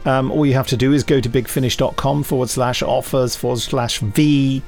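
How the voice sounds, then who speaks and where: A man's voice: mid-range voice, fairly even intonation, normal speed, darkish tone, soft background music. A man co-hosting a podcast, presenting news and offers casually. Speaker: podcast co-host.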